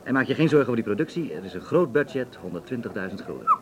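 An actor playing a pushy, smooth-talking film producer in a wartime Dutch radio drama. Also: male voice, medium pitch, sing-song, racing, heavy background noise. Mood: persuasive.

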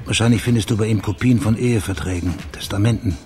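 An actor playing a tough, cynical private investigator with a dry, clipped delivery in a German radio crime drama. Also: male voice, fairly low pitch, fast talker, warm tone, natural voice. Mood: dry, businesslike.

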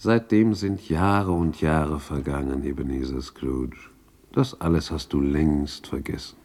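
An actor playing a solemn, reproachful ghost in a radio play, speaking in a grave, probing, admonishing manner. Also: male voice, extremely low pitch, a little slow, darkish tone, full character voice. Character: solemn, reproachful male ghost of Christmas past. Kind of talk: 1960s German radio play acting.

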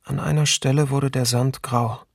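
An actor voicing a sombre man recalling his childhood, reading a literary memoir with measured restraint in a documentary radio play. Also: medium pitch, fairly even intonation, creaky, no room sound, studio quality. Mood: sombre, reflective.

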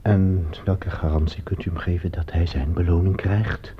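An actor playing a wary, desperate morphine addict in a radio play, hesitant and guarded in manner. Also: male voice, sits low, fairly even intonation, normal speed, natural voice. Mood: probing, doubtful. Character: wary, desperate male morphine addict, disgraced former cadet. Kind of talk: Dutch radio play acting. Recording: muffled, noisy old radio play recording.

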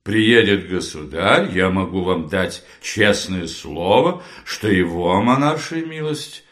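Slow-spoken, lofty dignitary. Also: male voice, deep voice, lively intonation, fairly bright tone, full character voice. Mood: cold, impatient. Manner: formal, lofty, brushing off. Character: high-ranking dignitary, curt and dismissive. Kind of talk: audio play acting.

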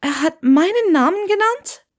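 A woman's voice: very high voice, animated, normal speed, voice pushed up. A woman voicing a reserved, weary young woman who is polite, hesitant and evasive, in an audiobook story. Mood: startled.

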